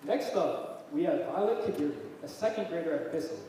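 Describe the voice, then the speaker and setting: Upbeat male emcee, slightly high voice; distant, reverberant auditorium sound. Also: lively intonation, a little fast, mellow. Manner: upbeat, hypes up the crowd. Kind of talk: introducing student performers. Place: school auditorium. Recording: echoey hall from far off, noisy.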